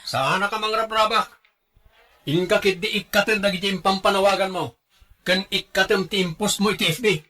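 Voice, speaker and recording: A high, forceful voice cuts through: a man acting a callous husband in an Ilocano radio drama. He is irritable and dismissive, with an Ilocano accent. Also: steady tone, moderate pace. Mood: irritated, dismissive. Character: callous, irritable runaway husband who brushes off his wife.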